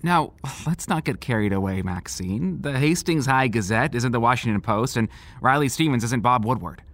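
A man playing a patient, paternal small-town sheriff in an audio drama, measured and reassuring but firm. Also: medium pitch, lively intonation, a bit bright, no room sound. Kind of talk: naturalistic audio drama acting.

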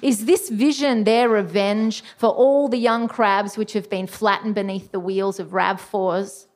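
A woman's voice: mid-range voice, steady tone, measured pace, darkish tone. A woman reading her own literary short story aloud as its writer.

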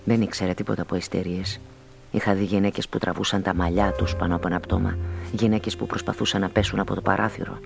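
An actor playing a weary, observant homicide detective who narrates his case in a measured, literary way in a radio play. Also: deep voice, level tone, creaky. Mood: brooding, observant. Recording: music under the voice.

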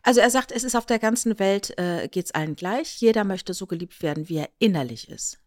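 Eine Frau mit deutschem Akzent plaudert als Podcast-Co-Moderatorin, erklärt erzählend, spielt Zitate nach und sucht mit kleinen Nachfragen Zustimmung. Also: female voice, mid-range voice, expressive, fairly quick, darkish tone, no echo, clean studio sound. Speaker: podcast co-host. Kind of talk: casual podcast chat, explanatory retelling.

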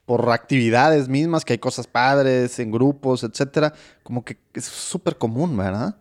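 A man hosts a casual conversation in a friendly, easygoing way. His voice sits at an ordinary pitch, and he often laughs between questions. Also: normal speed. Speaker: host. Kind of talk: casual chat and prayer.

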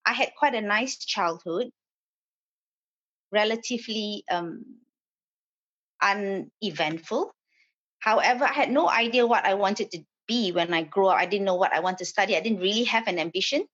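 A woman's voice: medium pitch, normal speed, a bit bright, muffled recording. Hesitant woman guest from Malaysia. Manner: hesitant, with frequent fillers and restarts. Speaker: property developer. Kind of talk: podcast interview guest.

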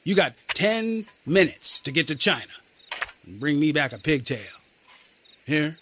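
A man voicing a big, gruff but kindly male army sergeant, speaking in a folksy drawl. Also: sing-song, measured pace, background noise. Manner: folksy drawling dialect, booming then gentle.

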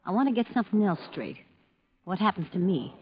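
Actress as shrewd, muffled vintage-radio wife. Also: female voice, mid-range voice, sing-song, close to an everyday voice. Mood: guarded. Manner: cool, deliberate, bargaining. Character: shrewd, calculating young wife selling out bandit husband. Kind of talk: 1950s radio drama acting. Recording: muffled old radio recording.

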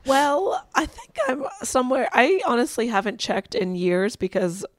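Hoarse wry woman podcast co-host. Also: fairly high pitch, very animated, normal speed, no room sound. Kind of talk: casual chat.

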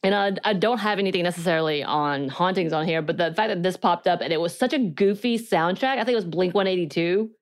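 A playful woman co-hosting a podcast, with a slightly low voice and a giggly, casual way of talking. She grew up speaking Korean. She speaks into a studio microphone. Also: fast talker, few pauses. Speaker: podcast co-host.

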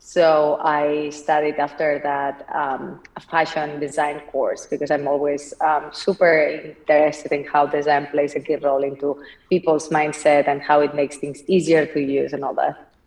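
Low, flat-voiced woman with a Spanish accent. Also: distant mic, rough recording. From Spain, Barcelona. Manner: thoughtful, many fillers, slow at times. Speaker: company founder, guest. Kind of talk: podcast interview, conversational.

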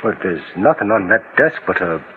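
An actor playing a loyal, folksy, eager detective's sidekick in a 1940s radio mystery. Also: male voice, lowish voice, big pitch swings, moderate pace. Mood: plain, watchful. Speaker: supporting actor in radio drama. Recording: muffled, narrow-band 1940s radio recording.

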